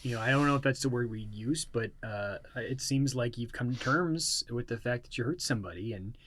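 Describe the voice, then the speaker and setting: A man's voice: mid-range voice, brisk, fairly bright tone, lightly acted. A man playing an earnest, forgiving middle-aged dad in a comedic audio drama, hesitant and folksy in his delivery. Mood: patient, reassuring.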